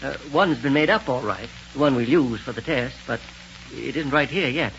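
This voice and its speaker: An actor playing a calm, authoritative scientist in a 1930s radio serial, speaking in a measured, precise way. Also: male voice, mid-range voice, creaky. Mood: explaining. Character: calm, authoritative male scientist, inventor of rocket devices. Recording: dull, hissy 1930s radio recording.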